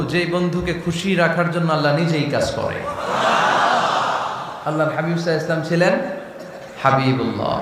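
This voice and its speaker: A man's voice: a little high, fairly bright tone. A man with a Bangladeshi accent preaching an Islamic sermon in an emphatic manner, full of rhetorical questions. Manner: emphatic, rhetorical questioning, switches into slow deliberate English phrases. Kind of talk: sermon to a gathering, call and response. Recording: echoey PA with long reverb, faint noise.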